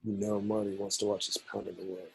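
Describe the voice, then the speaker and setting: A man playing a crude, cocky young jock in a film script, with a gravelly, raspy voice. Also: on the low side, little pitch movement, fast, darkish tone, heavily acted.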